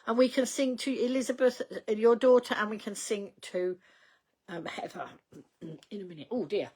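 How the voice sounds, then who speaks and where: An older woman hosting a casual livestream, rambling in a chatty way with many ums and small laughs, her voice going slightly croaky. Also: a little high, fairly even intonation, normal speed, mellow. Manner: chatty, rambling, reads out viewer comments, frequent ums and laughs. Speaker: livestream host.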